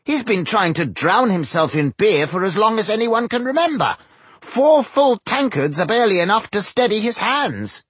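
A man's voice: sits high, sing-song, normal speed, breathy edge, dry recording, voice pushed up, heavily acted. A man voicing an indignant, disapproving dwarf stonemason with an insistent, incredulous manner, in a fantasy audiobook.

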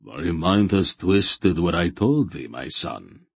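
A man's voice: deep voice, lively intonation, slow pace, no room sound, heavily acted. A man voicing a stern, majestic old god in a fantasy audiobook, with solemn, archaic, commanding speech. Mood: grave reproach. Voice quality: soft, solemn voice. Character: stern ancient bearded male god, grave and majestic.

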